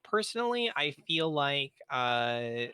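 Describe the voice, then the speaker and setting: A man's voice: mid-range voice, sing-song, slow pace, bright, forceful tone, smooth, dry recording. A man speaking as a game writer on a panel, explaining things in a thoughtful, methodical way.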